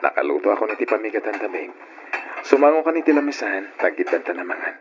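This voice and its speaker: A man's voice: fairly low pitch, big pitch swings, quick pace, over loud music. A man playing a caring, take-charge young boyfriend in an old Ilocano radio drama, with an Ilocano accent. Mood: tender. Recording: dull lo-fi radio recording.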